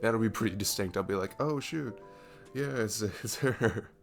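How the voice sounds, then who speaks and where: A man acts out a sweet young barista in an intimate ASMR roleplay. His voice is low with plenty of vocal fry. He rambles hesitantly and pokes fun at himself, with music clearly playing behind him. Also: normal speed. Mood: shy, sweet. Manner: rambling, self-deprecating, giggly, hesitant. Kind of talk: intimate ASMR roleplay, soft improvised-sounding chat.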